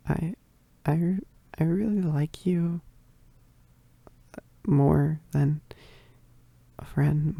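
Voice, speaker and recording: A shy, easily flustered young female werewolf, acted by a woman in an intimate ASMR roleplay. She speaks low and slowly in a very dark, soft, breathy voice, stammering and often slipping into whispers right by a dry, close microphone. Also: no echo, heavily acted. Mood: nervous, confessing. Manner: halting, stammering, bashful, often dropping into whispers.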